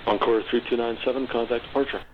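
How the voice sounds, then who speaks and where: A man's voice: medium pitch, fairly even intonation, fast. A man working as an air traffic controller, giving clipped instructions over aviation radio. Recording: muffled noisy aviation radio transmission.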